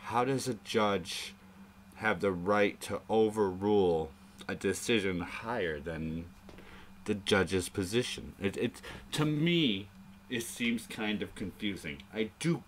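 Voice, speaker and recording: A man's voice: lowish voice, lively intonation, slow, a little hiss or hum. A man hosting a live-stream debate chat, thinking aloud in a rambling way and swearing now and then. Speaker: show host.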